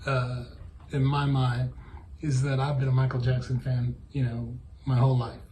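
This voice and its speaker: A man's voice: mid-range voice, monotone, moderate pace, darkish tone. Male co-host with noisy room sound. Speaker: podcast co-host. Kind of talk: casual reflective interview chat.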